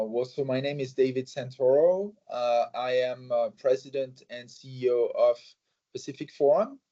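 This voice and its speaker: A man answering interview questions in a measured way, with many fillers and restarts. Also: medium pitch, warm tone. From France. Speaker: think tank president, guest. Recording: slightly muffled recording.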